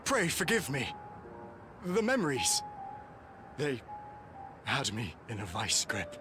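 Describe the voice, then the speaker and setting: An actor playing a noble, grieving knight in a fantasy game, with formal archaic diction and an emotional, halting delivery. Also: male voice, mid-range voice, wildly swooping pitch, music in the background. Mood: remorseful. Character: noble, grieving old-fashioned knight, earnest and courtly. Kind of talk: theatrical fantasy game voice acting.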